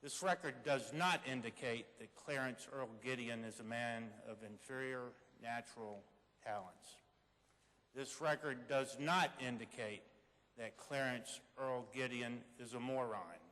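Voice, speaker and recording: A dignified male attorney, played by a man on stage argues earnestly in measured, formal oratory. The voice is mid-pitched and carries a long hall reverb. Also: a bit bright, lightly acted. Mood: solemn, earnest. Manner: measured, formal, rhetorical repetition. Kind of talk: readers theater oral argument, formal oratory.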